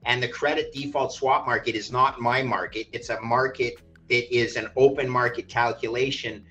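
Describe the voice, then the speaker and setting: A man's voice: mid-range voice, steady tone, normal speed, bright, forceful tone, soft background music, low-quality recording. A man from Canada, a native English speaker, talking as a finance expert in an interview, emphatic and evangelizing, stressing key phrases. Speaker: podcast guest, finance expert. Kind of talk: animated interview talk.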